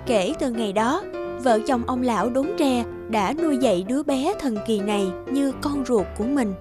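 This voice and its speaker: Female storyteller, high voice, measured scripted fairy-tale narration over clear background music.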